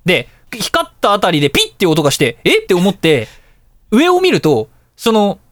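A man hosting a casual radio talk show, telling anecdotes in an animated way and acting out dialogue and reactions. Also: high-pitched, moderate pace, dry recording, clean studio sound.

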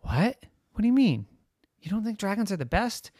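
A storyteller voicing a group of excited young children who fire off breathless, squealing questions. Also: medium pitch, normal speed, no room sound, clean studio sound, pitched above the performer's own voice. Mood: amazed.

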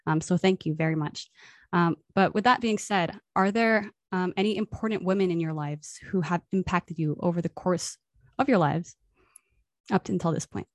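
Polite woman host, lowish dry voice. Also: no room sound. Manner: polite, measured, with "um" pauses. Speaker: podcast host. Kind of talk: hosting a roundtable.

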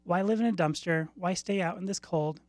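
A woman reading the part of a calm, compassionate female spirit of death in a short story, consoling and gentle. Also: fairly low pitch, fairly quick, no echo, close to an everyday voice.

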